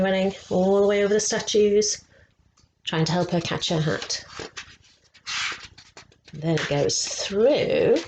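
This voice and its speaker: A woman reading a picture book aloud to children, slow and expressive, stopping to ask them questions. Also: lowish voice, slight background noise, rough recording. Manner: slow and expressive, asking the young listeners questions.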